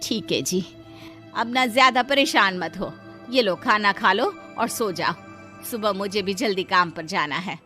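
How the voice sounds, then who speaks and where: A woman's voice: mid-range voice, a little slow, sharp tone, faint music behind. A woman playing a poor, devoted wife who works as a housemaid, earnest and anxious, in a cartoon moral story. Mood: reassuring.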